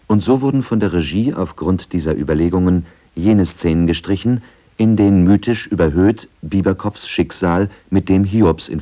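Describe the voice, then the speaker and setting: Deep mellow guy reading a scripted radio documentary. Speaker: documentary narrator. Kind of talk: scripted radio feature narration.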